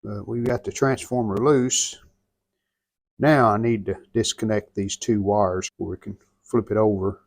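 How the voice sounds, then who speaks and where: Slow, gravelly American man. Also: fairly low pitch, fairly even intonation, dry recording. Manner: folksy, plainspoken, thinking aloud while working. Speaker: host of a radio restoration channel. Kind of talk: unscripted hands-on repair narration.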